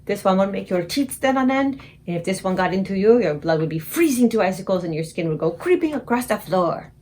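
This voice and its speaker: A woman's voice: medium pitch, animated, fairly quick, a little mellow, lightly acted. A woman putting on a fussing kindly old giant. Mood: agitated. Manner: mangled grammar, invented words, exclamatory. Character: excitable old male giant, kindly, garbled speech.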